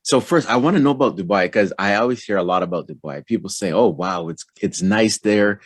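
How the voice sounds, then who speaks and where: A man hosting an interview show, asking relaxed, friendly questions with plenty of fillers. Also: lowish voice, brisk, husky, no room sound. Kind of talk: casual interview.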